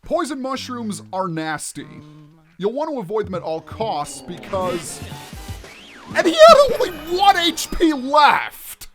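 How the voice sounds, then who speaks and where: High-pitched, animated man doing game commentary. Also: unhurried, soft background music. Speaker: let's player, host of the series. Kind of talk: video game let's play commentary, reading in-game text aloud.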